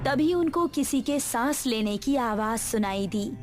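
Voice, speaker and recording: A woman's voice: a little high, expressive, measured pace, darkish tone. A woman reading a scripted horror story as its narrator.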